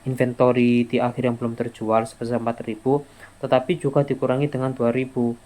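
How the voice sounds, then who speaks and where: A young man presents like a student in class. His pitch sits in the middle and stays quite even. He explains informally and haltingly, often going back over his words. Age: young adult.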